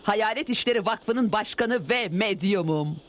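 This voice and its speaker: Çizgi film dublajında kendini beğenmiş, buyurgan ve eksantrik bir medyum kadını seslendiren bir kadın oyuncu. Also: female voice, medium pitch, animated, unhurried, a little hiss or hum, natural voice. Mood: self-important. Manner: theatrical, insistent. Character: eccentric, bossy lady spirit medium, self-important and theatrical. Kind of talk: Turkish cartoon dub. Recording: music under the voice.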